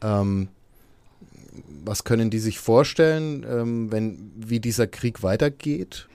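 A man's voice: fairly low pitch, slow pace, darkish tone, no echo. A man working as a podcast host, asking questions in a casual, conversational interview style.

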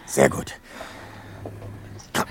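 A man's voice: extremely slow, background noise. An actor playing a brainy, self-assured young detective who leads his team in an audio drama, with precise, formal, commanding speech. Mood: commanding, focused. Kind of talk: German audio drama acting.

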